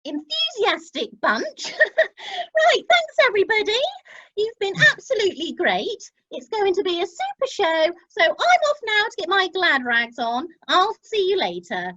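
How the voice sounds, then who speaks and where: Woman playing gushing cruise organiser, hugely animated, piercingly high, encouraging, amateur radio comedy. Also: moderate pace, full character voice. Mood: bright, gushing. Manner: encouraging, effusive, chirpy. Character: bubbly, gushing cruise ship entertainment organiser. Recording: dull online meeting audio.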